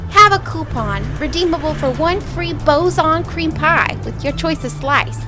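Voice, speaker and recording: A woman's voice: sits high, measured pace. A woman playing a flirty, brazen brothel hostess in an audio drama, with sultry, teasing sales patter. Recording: music behind the voice.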